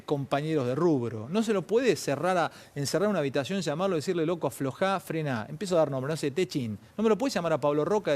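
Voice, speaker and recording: A male TV host with an Argentine accent, colloquial and pushy. He fires casual, slangy questions at his guest. His voice sits a little higher than most men's. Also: darkish tone. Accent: Argentine Spanish. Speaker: TV talk show host. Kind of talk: informal TV interview. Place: TV studio.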